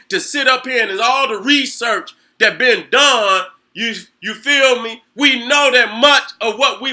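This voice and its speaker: Fiery, profane high-voiced man ranting. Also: pushed and bright. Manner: fiery, profane, rhetorical, repeats "you know what I'm saying". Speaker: host. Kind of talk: heated profane monologue.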